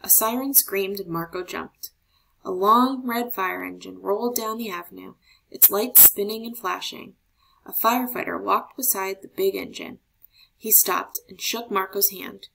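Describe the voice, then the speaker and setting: A woman reads a children's story aloud. Her delivery is expressive, in an average-pitched voice with a clearly creaky edge. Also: measured pace, poor audio. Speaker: storybook reader.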